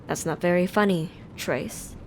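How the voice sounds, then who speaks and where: A woman's voice: fairly low pitch, measured pace, a little mellow, a little hiss or hum. A woman playing a firm, caring schoolteacher in an audio drama, composed and instructive. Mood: stern.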